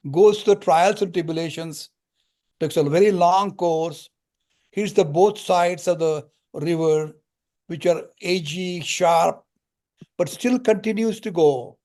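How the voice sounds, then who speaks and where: Older man giving a calm spiritual talk. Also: sits high, slow pace. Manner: calm, storytelling explanations with Sanskrit terms. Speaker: spiritual lecturer. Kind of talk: teaching discourse with parables.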